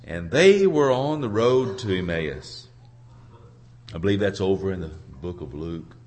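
A hoarse man preaching an informal sermon in a halting, folksy way, searching for his words and clearing his throat. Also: sits low, expressive, a little mellow, slight background noise. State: hoarse from a cold. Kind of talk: informal sermon with congregation responses.

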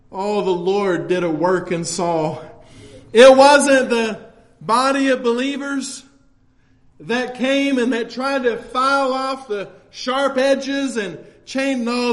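High-pitched American preacher, emphatic and folksy. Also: male voice. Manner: emphatic, exhortative, folksy delivery. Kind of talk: impassioned church sermon.

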